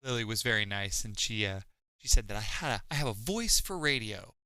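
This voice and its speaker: A man's voice: on the low side, brisk, clean tone, no room sound. A man co-hosting a live painting stream, bantering casually and sometimes stammering into his sentences. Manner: relaxed banter with a few stammered restarts. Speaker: co-host. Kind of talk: casual chat.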